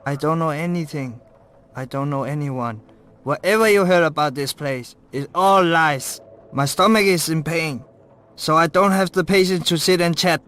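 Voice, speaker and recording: A man acting as an irritable informant with a bellyache in a video game. His fairly high voice is curt, evasive and full of complaint. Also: normal speed, a bit bright, natural voice. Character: grumpy, evasive man with a stomach ache.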